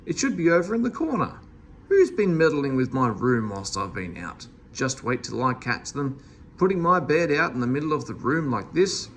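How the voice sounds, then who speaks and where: A man's voice: mid-range voice, big pitch swings, normal speed, slight background noise. A man voicing a foolish, fretful, grumbling storybook character who exclaims and fusses. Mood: indignant grumbling. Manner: exclaiming, fussy.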